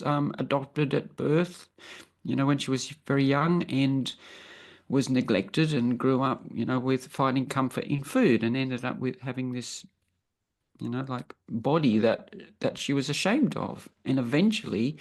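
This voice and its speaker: A woman in her sixties with a croaky voice from travel, talking earnestly as a guest on a video call and restarting her sentences often. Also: rumbling low, a little mellow. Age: 60s. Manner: earnest, thinking aloud with many restarts. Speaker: interview guest. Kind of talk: reflective personal conversation.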